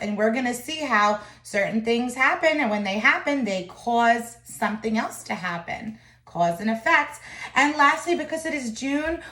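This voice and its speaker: Female storyteller, teacher type. Higher register, expressive and deliberate read-aloud delivery, noticeably echoing room. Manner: expressive, deliberate storytelling with short phrases and emphasis. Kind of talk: children's picture book read-aloud.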